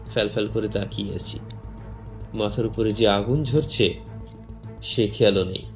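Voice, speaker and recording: A man narrating a horror story in the first person, theatrical and suspenseful, with drawn-out pauses. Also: medium pitch.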